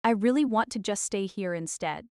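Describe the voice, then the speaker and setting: A flustered young woman in an audio drama, talking in a soft, sighing, pitched-up voice, as the male narrator puts her on. Her voice swings widely in pitch and is recorded close and dry. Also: expressive, normal speed, no echo. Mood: exasperated. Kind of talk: audiobook-style character voice.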